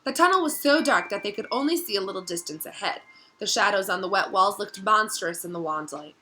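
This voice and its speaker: Bright-voiced woman reading a story aloud. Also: mid-range voice, lively intonation, brisk, a little hiss or hum. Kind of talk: expressive read-aloud narration.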